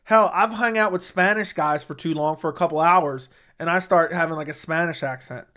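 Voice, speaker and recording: A man's voice: fairly high pitch, fairly quick, pushed and bright. A man hosting a casual mixed martial arts livestream, answering viewer chat in a blunt, opinionated way and repeating his points for emphasis. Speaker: MMA commentary livestream host.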